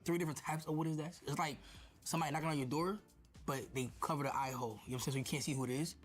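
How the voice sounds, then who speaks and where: A young man with a Dominican accent talks in a rapid, slangy, excited way, joking as he tastes dishes in a hot restaurant kitchen. His voice sits a bit high for a man. Music runs faintly behind him. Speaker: streamer. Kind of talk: casual food vlog chat.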